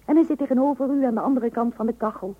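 An actress playing a dryly ironic, patient but firm housewife and mother in a radio play, with deadpan humour. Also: a little high, racing, natural voice. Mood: wry narration. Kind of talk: radio play acting with narration to the listener. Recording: muffled narrow-band old radio recording.

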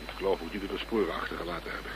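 An actor playing a brisk, gruff police detective in a radio play, speaking in a clipped, easily exasperated way. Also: male voice, low voice, steady tone. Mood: pensive. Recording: noisy, humming radio play recording.

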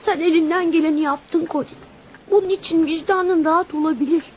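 An actress playing a loyal, tender-hearted young child in a radio play, earnest and emotional. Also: female voice, very high voice, fairly even intonation, brisk. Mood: earnest, pleading. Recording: noisy radio drama recording.

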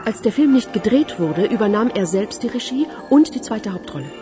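A woman playing a composed, probing police investigator in a German radio crime drama, questioning calmly and methodically. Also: medium pitch, a little fast, over music, lightly acted. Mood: pensive, firm.

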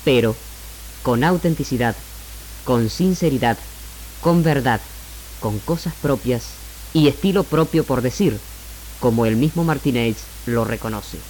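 A man with an Argentine accent delivers a scripted radio monologue in a measured, formal, rhetorical manner as the host. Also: mid-range voice, a little mellow, slight background noise, low-quality recording. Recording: 1969 AM radio archive, music bed. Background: music.

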